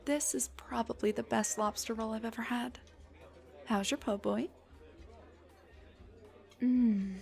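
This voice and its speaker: A young woman voicing an embarrassed, self-deprecating headless dullahan on a date, in an intimate roleplay, breathy and hesitant, often slipping towards a whisper. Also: on the high side, takes it slowly, long pauses, a little mellow, soft background music, some chatter behind. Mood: relaxed, chatty. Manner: hesitant, stammering, joking. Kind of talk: intimate audio roleplay acting.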